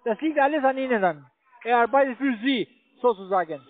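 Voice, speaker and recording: A man with a foreign accent in non-native German, speaking haltingly as a telephone salesman making a pitch over a phone line. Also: expressive. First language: not German. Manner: halting, fumbling, hesitant. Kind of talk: cold sales call. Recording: tinny, band-limited phone line.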